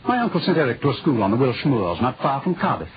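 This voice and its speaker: A man's voice: mid-range voice, big pitch swings, fast talker, dull audio, natural voice. Guy doing formal british nobleman, worked up and earnest, swooping delivery, hissy old time radio. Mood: suspicious. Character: earnest, agitated young English lord seeking justice. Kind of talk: 1940s radio acting.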